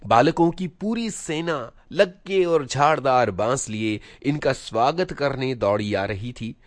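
Man, expressive literary narration, animated intonation, mid-pitched, dry sound. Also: a little slow, no room sound. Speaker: narrator reading a short story for a blog.

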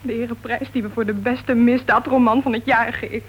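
An actress plays a distraught woman in a radio drama, pitched fairly high. She speaks plaintively and dramatically, with sighing exclamations. The old recording is dull, with some faint noise. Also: fairly even intonation, fairly quick, natural voice. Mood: shaken, explaining. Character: distraught female club committee member, a crime novelist. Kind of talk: Dutch radio play acting.

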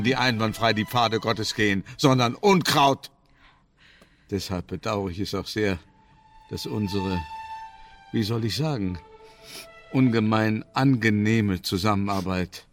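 An actor playing a polite, formal older gentleman who is a fanatical murderer, smoothly menacing, in a radio drama. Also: fairly low pitch. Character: courteous, fanatical older gentleman murderer, self-styled scientist.

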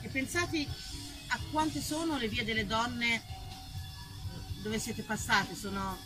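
A woman actress, performing an ironic, lively storytelling monologue and talking directly to her audience. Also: on the high side, takes it slowly, over music, low-quality recording.

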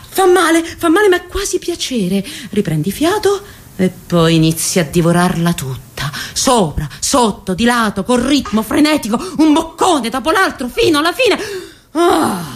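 An actress playing a hearty, sensual woman in comic radio theatre, savouring her words and building them up dramatically. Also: a little high.

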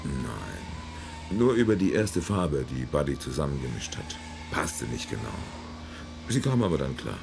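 An easygoing garage boss with a very low, gravelly voice. His delivery is affable and lively in pitch, in radio crime drama style. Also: a little slow, music in the background, exaggerated voice. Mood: matter-of-fact.

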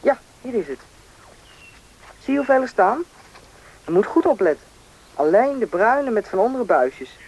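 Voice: mid-range voice, sing-song, normal speed, creaky, pauses often, darkish tone, slightly rough, highs cut off, heavily acted. A performer playing a bossy, knowing country boy, confident and impatient, in a radio drama. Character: bossy, knowing boy who grew up in the taiga. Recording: noisy old radio play recording.

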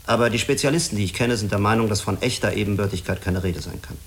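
A man's voice: lowish voice, fast, natural voice. A man playing a blunt, wary spaceship commander in a German radio drama, direct and reserved in his manner. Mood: skeptical.

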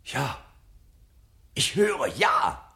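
A man's voice: medium pitch, very animated, very slow, vocal fry, bright, forceful tone, husky, shouting, heavily acted. An actor playing a troubled, searching fireman in a 1970 radio play, earnest and brooding in manner. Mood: curious. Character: troubled, searching fireman, lonely and eager for conviction.

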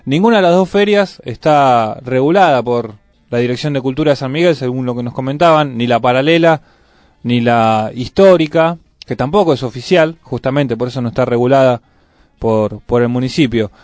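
A man's voice: mid-range voice, moderate pace, a little mellow, no room sound. A man with a Buenos Aires Argentine accent giving casual local news commentary as a radio show commentator. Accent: Buenos Aires Argentine Spanish. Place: radio studio. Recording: music playing behind the voice. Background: music.

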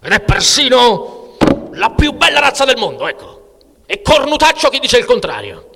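Guy doing a worked up patriot buddy, high voice, loud n boastful, radio play, big echoey hall. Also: sing-song, moderate pace, gravelly, a little hiss or hum, full character voice. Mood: indignant, insistent. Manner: insistent, loud, exclamatory. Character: excitable, boastful male friend, fiercely patriotic. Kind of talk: theatrical radio monologue acting. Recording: long hall reverb.

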